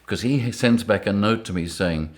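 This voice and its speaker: Older guy, real low voice, telling stories in an interview, chuckles a lot. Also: moderate pace. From Rhodesia. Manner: lively anecdotes, chuckling often. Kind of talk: storytelling interview.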